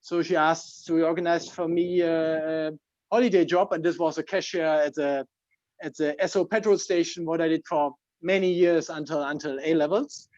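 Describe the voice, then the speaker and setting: A man with a German accent and a slightly high voice presents himself with slides. He explains things informally, phrase by phrase. The sound is close and dry but a bit dull, like a video call. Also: fairly even intonation, moderate pace, a little mellow, dry recording. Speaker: club member presenting himself.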